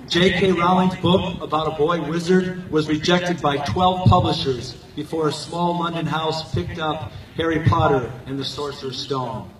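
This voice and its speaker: An older man giving a prepared graduation speech as a coach and teacher, speaking earnestly in measured, declarative phrases. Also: on the high side. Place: outdoor football stadium, recorded from the stands. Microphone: podium microphone over PA, captured by distant handheld camera. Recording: echoey stadium PA heard from far off.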